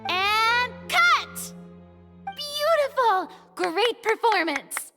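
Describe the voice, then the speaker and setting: A woman's voice: very high-pitched, sing-song, drawn-out words, loud music behind the voice, exaggerated voice. A woman playing an exuberant, bossy film director in a video game, with theatrical, commanding delivery. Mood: delighted.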